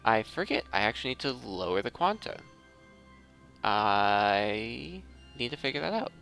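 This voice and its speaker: Bright, animated man narrating his gameplay. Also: on the low side, measured pace, gravelly, faint music behind. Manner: thinking aloud, talking through each step. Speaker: host of a gaming video series. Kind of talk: casual gameplay commentary.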